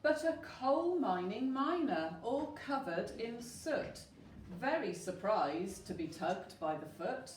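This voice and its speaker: A woman tells a rhyming children's story at an unhurried pace. She reads expressively and asks the listeners questions. The sound is roomy and slightly distant, with faint noise. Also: a little high, sing-song. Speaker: storyteller at a school.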